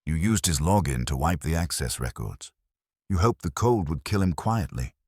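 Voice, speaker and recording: A man's voice: very deep voice, lively intonation, moderate pace, breathy edge, no echo, clean studio sound, exaggerated voice. A man voicing a commanding, coldly logical detective in an audiobook, formal and incisive as he confronts suspects. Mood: accusing, controlled. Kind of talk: audiobook detective story dialogue.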